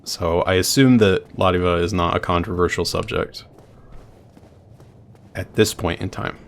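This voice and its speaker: A man with a low, deep voice, talking through a game on a live stream. His chatter is loose and unscripted, full of offhand swearing and half-finished thoughts. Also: fairly even intonation, a little slow, vocal fry. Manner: chatty, swears freely, thinks out loud. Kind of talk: casual live-stream chatter while playing.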